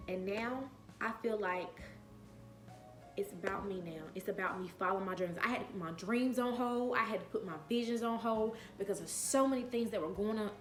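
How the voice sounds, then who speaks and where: A woman's voice: medium pitch, moderate pace, reverberant, faint music behind. A woman in her late twenties telling a personal story on her vlog, earnest and emphatic, repeating phrases to drive her point home. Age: 20s. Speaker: vlogger.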